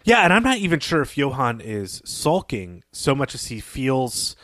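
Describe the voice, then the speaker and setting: A man's voice: medium pitch, big pitch swings, normal speed, a bit bright, no echo, clean studio sound. A man co-hosting a podcast, talking through a story in a thoughtful, analytical and articulate conversational way. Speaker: podcast co-host. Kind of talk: conversational literary discussion.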